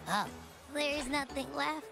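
A woman's voice: high voice, slow pace. A woman voicing a small, cute, demanding creature in a video game, with a petulant childlike delivery. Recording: music behind.

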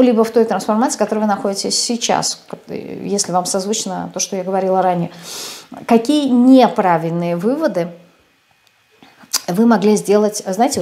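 A woman's voice: medium pitch, a little mellow. A woman in her thirties gives a tarot reading, seated at a desk in a small room and picked up by a fixed camera across the table. Her delivery is hesitant, with many filler sounds and restarts. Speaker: tarot reader.

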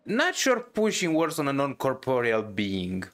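A man's voice: medium pitch, huge pitch swings, measured pace, a bit bright, a little hiss or hum. A man giving casual let's play commentary as a gaming streamer, talking off the cuff. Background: video game music.

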